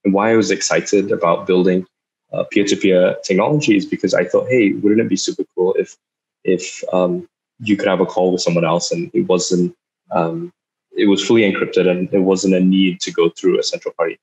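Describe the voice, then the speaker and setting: Low-voiced halting young man on a video call. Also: steady tone, darkish tone, rough recording. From Singapore. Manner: halting, many fillers and repeated words. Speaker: startup founder giving a talk. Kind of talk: online talk over a video call.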